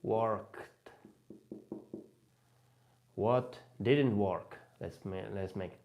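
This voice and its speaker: A man from Poland, a native Polish speaker, giving an informal livestream talk with a Polish accent, halting and often rephrasing himself. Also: fairly low pitch, a little mellow. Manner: halting, repeats and rephrases phrases. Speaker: host, language learner giving advice.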